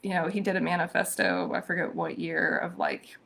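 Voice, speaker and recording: A hoarse woman hosting a live-streamed social media commentary, thoughtful and full of ums and restarts. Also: fairly low pitch, big pitch swings, a little fast, fairly bright tone. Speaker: social media show host.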